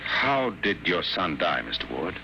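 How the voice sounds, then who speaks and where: An actor playing a cynical, wisecracking hard-boiled investigator, wry and laconic, in a 1950s radio drama. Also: male voice, low-pitched, sing-song, a little slow, gravelly, lo-fi sound, background noise, full character voice. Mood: cool, shrewd. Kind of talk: 1950s radio acting, noir narration. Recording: old 1950s radio, music behind.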